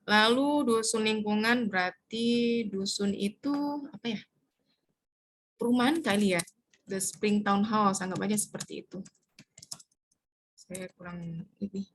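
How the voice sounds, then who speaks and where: A woman explaining step by step how to fill in a community household form, pausing often to search for words. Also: mid-range voice, a little hiss or hum. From Indonesia. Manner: hesitant, searches for words with many fillers. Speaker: community trainer.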